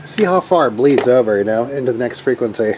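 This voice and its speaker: A man's voice: medium pitch, lively intonation, fast, noisy background. A man giving a casual hands-on tech demo as a hobbyist, talking informally and rambling as he thinks aloud. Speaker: hobbyist radio operator demonstrating equipment.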